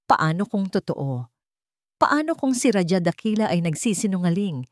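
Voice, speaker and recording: A woman's voice: mid-range voice, sing-song, normal speed, darkish tone, no room sound, studio quality. A woman reading a scripted dramatic story as its narrator.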